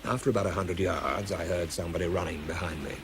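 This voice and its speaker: A man's voice: low voice, fairly even intonation, fast, noisy background, close to an everyday voice. An English actor playing a world-weary, bitter man who struggles with drink, narrating and speaking tersely in a radio drama. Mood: weary, tense. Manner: dry, terse, understated.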